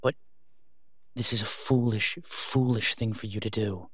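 A man playing an exasperated, protective vigilante in a phone-call audio roleplay, speaking urgently and emphatically, with pleading pauses. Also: on the low side, fairly even intonation, a bit bright, breathy edge, dry recording, clean studio sound. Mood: worried, pleading. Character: exasperated, protective masked male vigilante on patrol.

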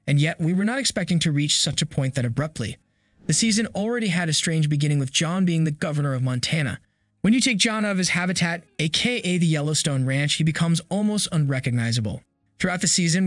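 A man reading a scripted voice-over commentary in an even, measured way. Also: fairly high pitch, no echo, clean studio sound. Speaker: channel narrator.

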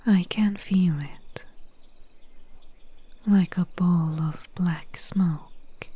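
A woman voicing a calm, mysterious swamp witch healer in a whispery, soft-spoken ASMR roleplay, close to the mic. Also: lowish voice, dry recording, heavily acted. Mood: hushed, knowing. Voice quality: whispery, breathy. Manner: slow, hushed, unhurried.

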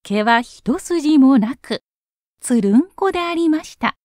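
A woman narrating a children's story in an expressive storytelling style. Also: sits high, measured pace, no room sound, studio quality.

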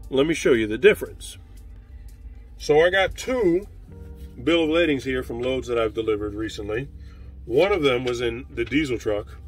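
A man in his forties, a truck driver vlogging, explaining things step by step to viewers while filming on a phone at arm's length, first outdoors by his truck and then in the cab. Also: fairly low pitch. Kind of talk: casual explanatory vlog. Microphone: handheld phone at arm's length.

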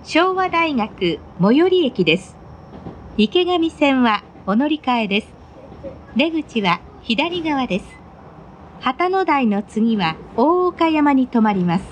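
A woman's voice: high voice, big pitch swings, slow pace. A woman reading scripted railway announcements in a formal, polite manner. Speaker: train announcer. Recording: noisy onboard train recording. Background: train running noise.

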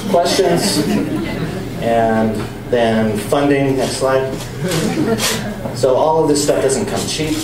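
Brief: lower-voiced male scientist, relaxed lecturer, recorded from far off in a noisy, echoey pub. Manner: relaxed, explanatory, with some restarts. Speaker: scientist giving a public talk. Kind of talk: lecture and audience Q&A. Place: bar or pub venue with an audience. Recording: echoey, distant, noisy room.

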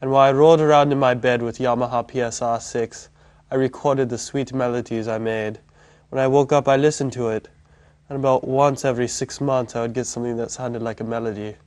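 Man playing a deadpan, self-important keyboardist. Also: medium pitch, a little fast, no echo, natural voice. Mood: solemn, deadpan. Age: young adult. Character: solemn, self-important eccentric young keyboard player. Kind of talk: deadpan mockumentary interview. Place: indoor lounge.

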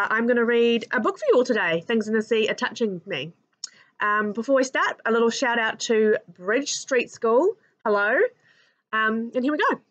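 A woman in her thirties with a New Zealand accent reads a children's picture book aloud with an expressive, sing-song rhyming delivery. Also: on the high side, highs cut off. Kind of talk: storybook read-aloud for children. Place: living room at home. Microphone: webcam at arm's length.

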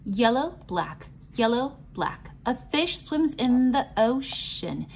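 Lively, sing-song woman reading to children. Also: a little high, a little hiss or hum. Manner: sing-song, clearly enunciated, pausing to ask questions. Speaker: teacher reading a picture book aloud. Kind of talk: children's read-aloud and simple explanation. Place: indoors, at a table.

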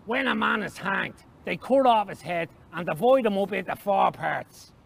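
A man voicing a flustered, slightly cross Irish uncle, an old soldier explaining haltingly in a story reading. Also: high voice, expressive, gravelly, full character voice. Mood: matter-of-fact.

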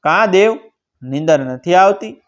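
A man's voice: high-pitched, expressive, a little slow. A man voicing a generous, shrewd village lord in a folk tale, hearty and coaxing, with a Kathiawadi Gujarati accent. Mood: coaxing, caring. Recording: dull lo-fi recording.

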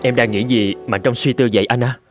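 Casting: male actor for consoling, gentle husband in cartoon drama, average male pitch, light music behind. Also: steady tone, fairly quick, close to an everyday voice. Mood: concerned. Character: gentle, supportive husband, an adult man.

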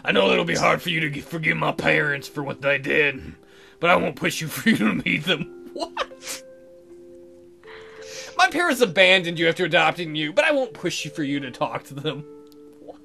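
A man's voice: on the high side, big pitch swings, moderate pace, creaky, pushed and bright. A man reading visual novel lines aloud as a let's player, dropping joking, teasing asides. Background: game music.

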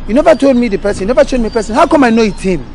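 Actress as a high-voiced fervent Nigerian prophet. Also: female voice, animated, racing, a little mellow, a little hiss or hum, close to an everyday voice. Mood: assertive. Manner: emphatic, breaking into ecstatic chanting. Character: fervent self-assured prophet claiming to know everything.

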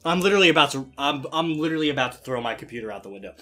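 A young man giving energetic, exasperated gameplay commentary as a gaming YouTuber, with loud outbursts. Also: mid-range voice, rapid-fire, soft background music.

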